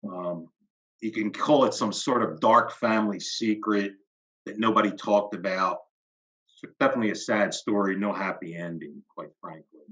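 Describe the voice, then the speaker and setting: A man speaks as an interview guest in a low but bright, forceful voice. He talks in a measured way and lets his phrases fade off quietly. The audio is slightly dull and lo-fi. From Philadelphia, USA. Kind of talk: reflective interview talk.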